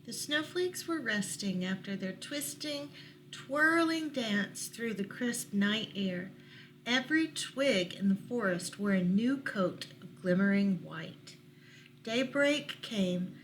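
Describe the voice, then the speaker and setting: A woman's voice: a little high, poor audio. A woman reading a picture book aloud at storytime, with small asides to listeners. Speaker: library storytime reader. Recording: noticeable background noise.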